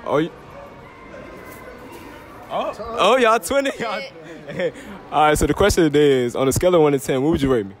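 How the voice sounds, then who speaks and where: An eighteen-year-old man hosts a casual interview inside a shopping mall, teasing and laughing as he talks. His pitch swings in a lively way, and faint music plays underneath his voice. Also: normal speed, long pauses. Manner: playful, joking, laughs often. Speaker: host asking strangers to rate him.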